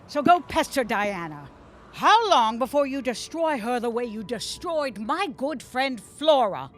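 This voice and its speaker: An actress playing a scornful, righteous shopkeeper with a biting, sarcastic manner in a period audio drama. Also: female voice, a little high, expressive, a little slow. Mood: bitter indignation. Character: scornful, righteous married shopkeeper woman, bitterly outspoken.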